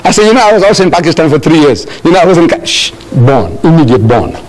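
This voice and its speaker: Older male lecturer from South Africa, animated storyteller with a bright, swooping voice. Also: fairly high pitch, fast talker, low-quality recording. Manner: animated, storytelling with acted-out dialogue. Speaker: Islamic lecturer answering audience questions. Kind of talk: lecture Q&A with audience.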